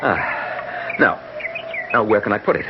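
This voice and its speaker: An actor playing a debonair, self-assured French gambler and suitor in theatrical old-time radio style. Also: male voice, fairly low pitch, animated, moderate pace. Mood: breezy, flustered. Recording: muffled, noisy 1970s radio broadcast.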